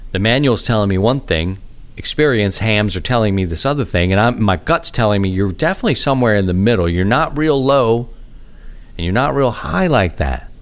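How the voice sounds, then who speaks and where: A man hosting a podcast, talking casually and informally in a rambling, self-deprecating monologue with animated asides. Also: lowish voice, brisk. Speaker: podcast host. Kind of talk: casual rambling hobby monologue on amateur radio.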